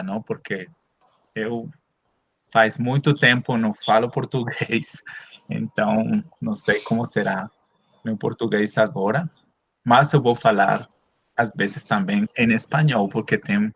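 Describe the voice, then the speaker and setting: A man from Colombia, a Spanish native speaker, giving an academic talk in a second language over a video call, hesitant with many drawn-out fillers. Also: fairly low pitch, a bit bright, breathy edge, dry recording. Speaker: guest speaker, anthropologist. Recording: dull lo-fi video call audio.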